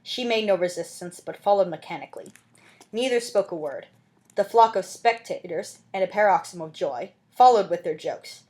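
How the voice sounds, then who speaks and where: A woman's voice: mid-range voice, moderate pace, a little mellow. A woman reading a classic novel aloud as an amateur audiobook narrator, with dramatic scripted delivery.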